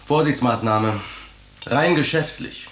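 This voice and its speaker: A mocking, greedy thug in a radio drama. His mid-pitched voice is curt and taunting, set in an echoey space. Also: slow pace, a bit bright. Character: mocking, greedy male blackmailer thug with a gun. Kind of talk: German radio drama dialogue. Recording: roomy, echoing sound.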